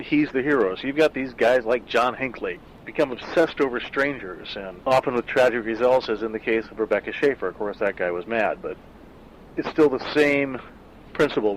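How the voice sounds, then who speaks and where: A middle-aged man talks over a story he wrote in a loose, reflective way, pausing on fillers as he thinks aloud. His voice sits at an ordinary middle pitch, with a faint rumble of traffic behind him. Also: rough recording. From Southern California. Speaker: author discussing his own story. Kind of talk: casual spoken afterword.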